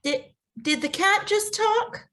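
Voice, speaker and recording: A woman playing an earnest, anxious orphaned young woman in an animated comedy, with emotional delivery. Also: very high-pitched, takes it slowly, heavily acted. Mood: bewildered. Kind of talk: animated comedy table read.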